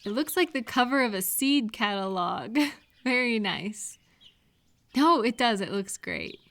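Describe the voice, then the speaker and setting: A woman acting a caring, cheerful friend in a scripted roleplay audio, talking gently and attentively to the listener. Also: a little high, expressive, gravelly, exaggerated voice. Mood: cheerful, excited. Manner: gentle, unhurried, attentive questioning with pauses for replies.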